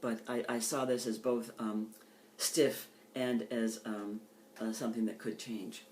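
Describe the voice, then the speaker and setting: The voice is an older woman's, with the careful phrasing of a poet at a reading. She speaks in a measured, deliberate way. The sound is strongly echoey, with the room clearly heard around her. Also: rumbling low, steady tone, a little mellow, a little hiss or hum. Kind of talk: poetry reading with informal introductions.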